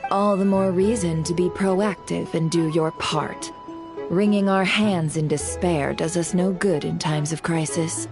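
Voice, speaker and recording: A shrewd, secretive broker of intelligence in a fantasy game. Her voice sits fairly low and stays composed and businesslike, with a guarded air. Soft music runs behind her. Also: steady tone, a little slow. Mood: brisk, composed. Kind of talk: video game dialogue acting.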